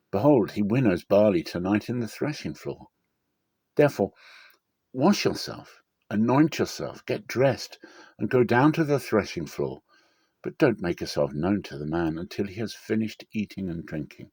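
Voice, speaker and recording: A man's voice: lowish voice, expressive, measured pace, darkish tone, husky, no room sound. A caring older mother-in-law, acted by a man, gives guidance in an earnest, motherly manner. It is scripture drama storytelling, with a close, dry sound. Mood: motherly, instructing.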